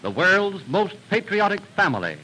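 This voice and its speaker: Male announcer, 1930s radio narration, dramatic and emphatic, very animated pitch, music behind, old recording. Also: moderate pace, low-quality recording.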